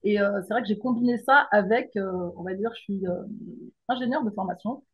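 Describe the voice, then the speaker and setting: A middle-aged woman from the Paris region speaks as an interview guest. She thinks aloud, restarts and pauses often, and her husky voice comes through a dull, muffled remote line. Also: medium pitch. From France, Paris region. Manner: thoughtful, hesitant with many fillers and restarts. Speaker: guest, mindset coach and teacher.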